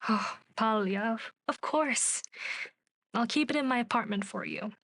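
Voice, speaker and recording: A woman's voice: a little high, a little slow, a bit bright. A woman playing a weary, guarded television director in a radio play, speaking in an understated, naturalistic way. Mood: guarded fondness. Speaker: voice actor.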